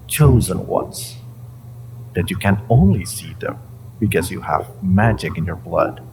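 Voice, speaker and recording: Man acting a slow, deep, sinister inhuman interrogator. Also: creaky, darkish tone, slight background noise, heavily acted, rough recording. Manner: theatrical, taunting, drawn-out phrasing with pauses and whispers. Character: sinister inhuman male interrogator, mind-reading monster, mocking and menacing. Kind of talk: dramatic audio roleplay monologue to the listener.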